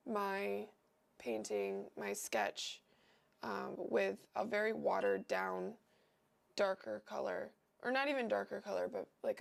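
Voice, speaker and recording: A woman's voice: mid-range voice, creaky. A young woman with a cold and a nasal voice, hosting a livestream and chatting casually as she paints, wandering and thinking aloud with lots of fillers. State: sick and nasal.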